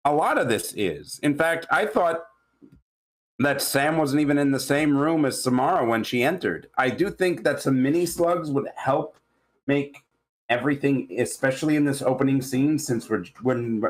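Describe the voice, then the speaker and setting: A man giving casual critique in a halting, rambling way, often searching for words. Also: medium pitch. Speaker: table read participant giving feedback.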